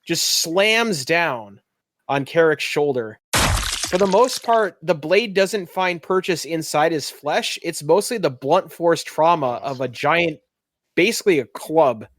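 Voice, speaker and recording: Slow-paced man running a tabletop game. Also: a little high, expressive, fairly bright tone, no room sound. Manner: casual, descriptive, conversational. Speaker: game master of a tabletop roleplaying game. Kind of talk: improvised game narration with casual table talk.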